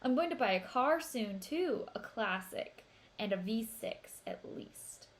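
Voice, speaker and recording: An upbeat teenage big brother from a storybook, played by a woman reader. The voice is fairly high, playful and emphatic, full of brotherly bragging. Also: lively intonation, measured pace. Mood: hopeful.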